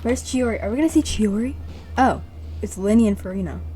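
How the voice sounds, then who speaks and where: A woman's voice: mid-range voice, expressive, fairly quick, mellow, a little hiss or hum. A woman giving casual live gameplay commentary, muttering reactions and repeating herself. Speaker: game streamer.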